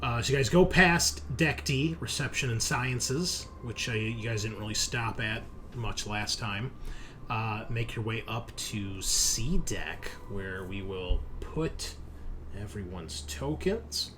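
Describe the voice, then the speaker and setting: A man's voice: fairly low pitch, takes it slowly. A man running a tabletop game as game master, narrating in a loose, conversational and casually profane way. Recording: noticeable background noise.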